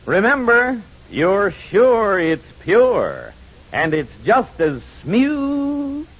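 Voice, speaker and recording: A man's voice: high voice, wildly swooping pitch, heavily acted. An actor playing an enthusiastic male beer fan drawing out slogans in a 1940s radio commercial. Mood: exuberant. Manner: drawn-out, exuberant slogan delivery. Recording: dull 1940s radio recording.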